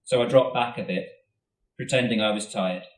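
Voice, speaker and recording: A man, an author, reading his own short story aloud at a festival event in a steady, measured way, recorded in a hall from a distance. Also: fairly low pitch. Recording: roomy hall, mic at a distance.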